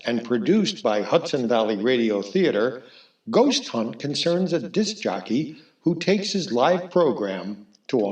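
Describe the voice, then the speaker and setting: A man as a radio drama announcer, reading a scripted introduction in a formal, deliberate, old-time theatrical manner. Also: mid-range voice. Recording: echoing room, faint music behind.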